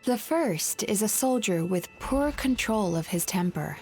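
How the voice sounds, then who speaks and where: An actress playing a composed, polite counselor in a video game, speaking in a measured, articulate, gently firm way. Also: female voice, medium pitch, lively intonation.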